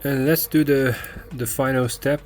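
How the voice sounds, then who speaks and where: A man presenting a step-by-step software tutorial in an unscripted, halting way, pausing to search for words. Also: medium pitch, fairly even intonation, soft background music. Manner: hesitant, halting delivery with searching pauses.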